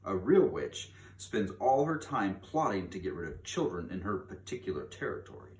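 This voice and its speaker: A man's voice: sits low, big pitch swings, moderate pace, a little mellow. A man reading a children's book aloud in a theatrical, emphatic manner, drawing out key words for drama. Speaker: read-aloud storyteller. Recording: distant mic, echoey room, background noise.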